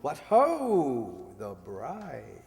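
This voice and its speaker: Man playing a suave, teasing, slow-talking gentleman. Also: mid-range voice, wildly swooping pitch, vocal fry, exaggerated voice. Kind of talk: 1940s radio comedy acting. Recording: echoey hall stage recording.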